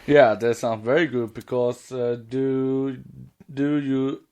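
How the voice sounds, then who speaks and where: A man co-hosting a casual fan podcast in halting with loose grammar. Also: mid-range voice, fairly even intonation, darkish tone, slight background noise. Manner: non-native English with loose grammar, halting. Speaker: podcast co-host.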